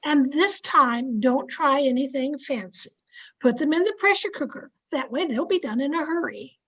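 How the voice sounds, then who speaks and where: Woman acting a high-voiced, chirpy best friend. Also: animated, normal speed, a bit bright, low-quality recording. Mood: helpful, concerned. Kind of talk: old-time radio sitcom acting. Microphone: home microphone over video call.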